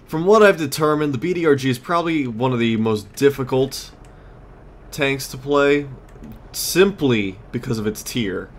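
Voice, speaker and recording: A man giving casual gameplay commentary as a let's play host, muttering and exclaiming as he plays. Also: medium pitch. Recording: clear background noise, game ambience.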